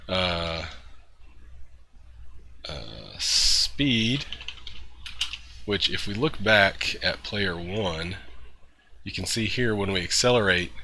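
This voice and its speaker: A congested man walks through a coding tutorial in a relaxed, step-by-step way. His voice sits fairly low but has a very bright, forward edge. A faint hiss runs under the recording. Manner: relaxed, talks through steps with fillers and throat-clearing. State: congested from a cold. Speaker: programming tutorial host.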